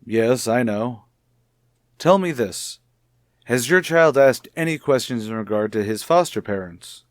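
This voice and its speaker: An actor playing a calm, formal male child psychologist in a vintage radio soap opera, questioning in a measured, deliberate way. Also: mid-range voice, no room sound, clean studio sound, close to an everyday voice. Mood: measured, probing.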